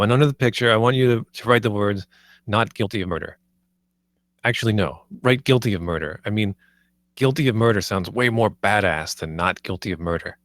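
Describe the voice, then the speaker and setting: A man's voice: on the low side, a bit bright, dry recording, lightly acted. A man playing a cocky, crude defendant in a comedy script table read, brash and slangy. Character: cocky, crude young man awaiting murder trial.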